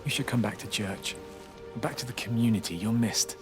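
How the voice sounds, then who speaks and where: An actor playing a gentle, earnest village priest in a video game drama, measured and consoling. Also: male voice, fairly low pitch, natural voice. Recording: music under the voice.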